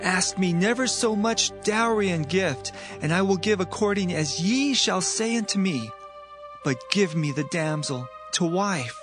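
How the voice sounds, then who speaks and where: An actor playing a young, lovestruck prince who speaks eagerly and insistently in a dramatized scripture reading. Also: male voice, high-pitched, animated, unhurried. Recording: music bed behind voice.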